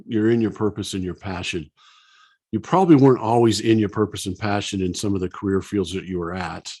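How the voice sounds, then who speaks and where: A man in his fifties hosts a remote interview with a fairly low voice. He speaks conversationally, guiding his guest along from a headset mic. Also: brisk, mellow, husky. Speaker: podcast host, coach. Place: home office, indoors. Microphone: headset on video call.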